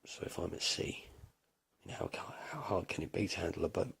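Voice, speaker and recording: An actor playing a weary male apocalypse survivor, narrating his escape plans in a husky, hushed, brooding voice. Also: deep voice, a little fast, in a whisper, exaggerated voice. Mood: brooding, resolute. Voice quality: very gravelly, husky. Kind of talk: dramatic first-person audio drama narration.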